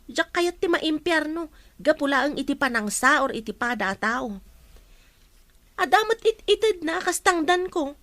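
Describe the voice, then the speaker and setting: A woman's voice: high voice, expressive, unhurried, fairly bright tone, no room sound. A woman playing an earnest, pious young woman with an Ilocano accent in a radio drama. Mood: earnest, defensive.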